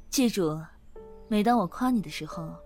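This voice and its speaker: A woman playing a kind, calm office manager in a modern audio drama, gently teasing and soothing. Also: mid-range voice.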